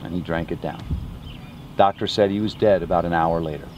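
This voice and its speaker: A man working as a tour guide, telling a dramatic history story to tourists in deliberate, short phrases. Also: sits low, darkish tone, muffled recording. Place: outdoors on a battlefield. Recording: clear outdoor ambient noise.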